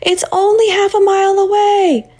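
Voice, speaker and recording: A woman's voice: extremely high pitch, little pitch movement, moderate pace, a little mellow, voice pushed up. A woman voicing a brave young female schoolteacher in a storybook, calling out firmly to her pupils in a raised voice. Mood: urgent, rallying.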